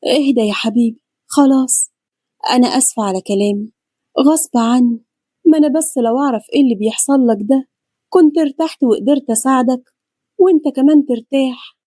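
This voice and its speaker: A woman voicing a devoted, worried woman in love, earnest and gentle, with an Egyptian accent. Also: high-pitched, fast, slightly rough, no room sound, clean studio sound. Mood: apologetic, soothing. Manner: earnest, pleading.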